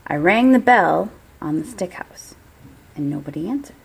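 A woman's voice: fairly low pitch, animated, normal speed, gravelly, husky, full character voice, poor audio. A woman voicing a self-justifying, folksy wolf in a children's storybook, telling his side in a mock-innocent, theatrical way. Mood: mock-innocent, chatty. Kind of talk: children's storybook read-aloud.

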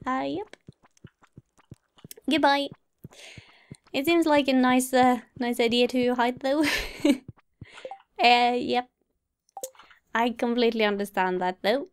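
A woman's voice: on the high side, slow pace, pauses often, clear, resonant tone. A woman giving casual let's play commentary as a host, chatty and a little hesitant, musing to herself. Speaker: gaming video host. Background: game music.

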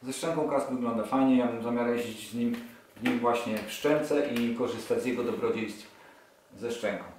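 A man in his forties chats excitedly to camera while trying on motorcycle gear. He sits at a table in a small room, and the distant camera mic makes him sound roomy and echoey. Clicks and handling noise carry clearly behind him. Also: medium pitch, moderate pace. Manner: chatty, enthusiastic, thinking aloud while handling gear. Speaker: motorcycle vlogger. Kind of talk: casual unboxing vlog.

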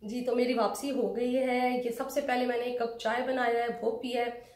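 A woman's voice: on the high side, little pitch movement, moderate pace, a little mellow. Chatty woman in an echoey room. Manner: chatty, explaining prices step by step. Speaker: vlogger. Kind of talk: casual shopping vlog chat. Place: home room with shelves, indoors.

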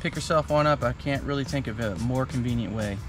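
A man in his thirties giving a relaxed, chatty step-by-step demonstration outdoors at a campsite under a canopy tent. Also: mid-range voice, a little fast. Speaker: vlogger. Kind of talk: casual how-to demo. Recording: background music under voice. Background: music.

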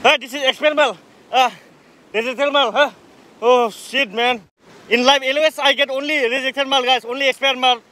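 A man's voice: extremely high pitch, steady tone, fairly quick, bright, forceful tone. A young man with a Northeast Indian accent hosting a casual outdoor vlog in a playful, teasing, repetitive way. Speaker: vlog host. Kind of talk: casual joking chat. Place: open grassy campsite outdoors. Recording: outdoor handheld recording with ambient noise.

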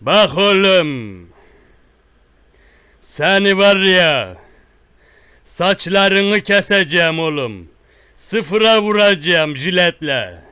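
Prank-call menace: man playing a boastful country tough guy, high bright voice, unhurried threats. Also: sing-song, clean tone, dry recording, full character voice. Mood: menacing. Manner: slow drawn-out threats, repetitive. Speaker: prank caller. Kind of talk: one-sided prank call.

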